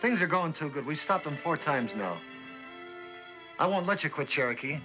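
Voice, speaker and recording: An actor playing a stubborn, by-the-book middle-aged captain in a 1960s television drama, curt and authoritative. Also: male voice, fairly high pitch, animated, normal speed, muffled recording, music in the background, lightly acted. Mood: firm, unyielding.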